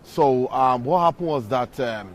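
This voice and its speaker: A man being interviewed outdoors, explaining slowly with frequent pauses and fillers. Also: medium pitch. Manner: hesitant, many pauses and fillers. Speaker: interview guest. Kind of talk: street interview answers. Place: outdoors on a city street outside a court. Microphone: handheld mic from the streamer.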